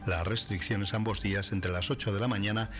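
A man reading a local radio news bulletin in a steady, formal delivery. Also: fairly low pitch, a little fast, faint music behind. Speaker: radio newsreader.

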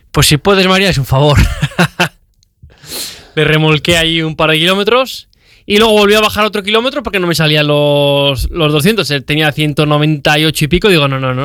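Chatty man, smooth and dry. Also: a little high, dry recording. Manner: chatty, animated, retells dialogue. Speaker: cycling guest. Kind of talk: radio interview, storytelling.

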